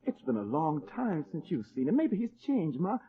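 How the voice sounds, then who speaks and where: An actor playing an eager, earnest eighteen-year-old son in an old-time radio drama. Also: male voice, fairly high pitch, sing-song, moderate pace. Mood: pleading. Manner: earnest, pleading. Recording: muffled old radio recording.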